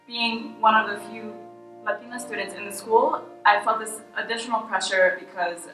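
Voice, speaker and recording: A young woman student, reflecting deliberately on the pressure she felt in school. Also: mid-range voice, fairly even intonation, sharp tone, soft background music. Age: young adult. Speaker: student interviewee. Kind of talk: reflective interview answers. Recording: roomy, from a distance.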